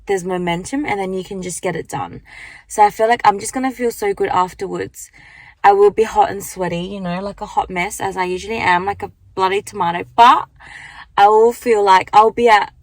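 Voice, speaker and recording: Chatty young woman with a croaky morning voice. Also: mid-range voice, dry recording. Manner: chatty, rambling, lots of fillers. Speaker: vlogger. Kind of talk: casual chat vlog. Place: car at the beach, then walking outdoors. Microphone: handheld phone.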